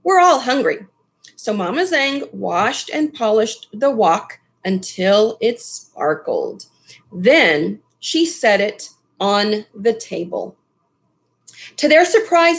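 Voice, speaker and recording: A middle-aged woman reading a children's story aloud, pausing for asides to her listeners. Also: on the high side, animated. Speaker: storybook reader. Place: small furnished room at home.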